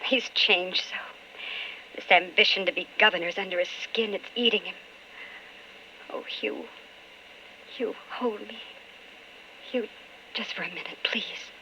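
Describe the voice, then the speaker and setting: An actress playing an anguished, impatient politician's wife in an old-time radio drama. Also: female voice, mid-range voice, measured pace, long pauses, lightly acted. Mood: anxious, yearning. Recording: muffled old radio broadcast with hiss.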